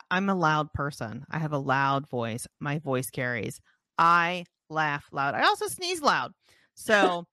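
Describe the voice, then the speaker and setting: Calm explaining woman, dry close sound. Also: fairly low pitch, no echo. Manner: calm, explanatory, affirms with soft interjections. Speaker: podcast co-host. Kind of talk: candid conversational podcast talk.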